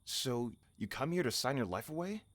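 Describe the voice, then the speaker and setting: A man playing an encouraging, teasing friend in an audio drama, gently probing and wry. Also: mid-range voice, expressive, moderate pace. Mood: gentle, encouraging.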